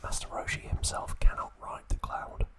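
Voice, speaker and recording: A man whispering slowly and deliberately in short, hushed phrases, narrating as an ASMR host close to the microphone. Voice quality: breathy, unvoiced whisper.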